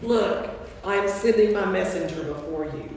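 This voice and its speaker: A man playing a biblical prophet in an amateur staged scripture reading, with a creaky voice. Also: high-pitched, normal speed. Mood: solemn proclaiming. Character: biblical prophet proclaiming a messenger's coming. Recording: very echoey church, distant, faint noise.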